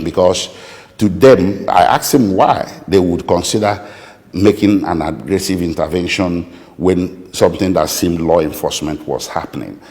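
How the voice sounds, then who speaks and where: A man with a Nigerian accent answering interview questions as a lawyer, speaking deliberately and haltingly with precise detail. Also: sits low, a little mellow. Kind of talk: interview answers recounting a client's account. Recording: echoey room with long reverb.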